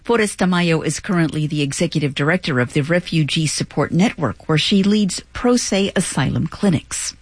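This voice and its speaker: Female public radio host, measured delivery, husky tone, dry close mic. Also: lowish voice, no echo. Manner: measured scripted intro, brief reactions.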